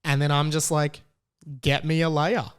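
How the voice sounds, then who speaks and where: An Australian man hosting a podcast, telling a personal story in a casual, chatty and animated way. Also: fairly high pitch, unhurried, smooth. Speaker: podcast host.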